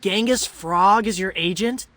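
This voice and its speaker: A man playing a sincere, easygoing teenage mutant turtle in an animated game. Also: high voice, a little slow, no room sound. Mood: wry.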